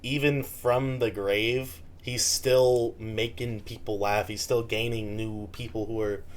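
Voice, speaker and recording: An American man gives casual commentary over a clip. His talk is slangy and relaxed, and he swears freely, at an ordinary pitch for a man. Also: takes it slowly. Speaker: reaction video host.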